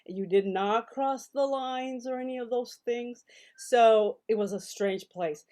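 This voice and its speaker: A woman in her sixties from El Paso, talking on a video call from her home study, telling stories in a lively way with many restarts and fillers. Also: a little high, a little slow. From El Paso, Texas. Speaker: guest, novelist and scholar. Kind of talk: casual storytelling interview. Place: home study with full bookshelves.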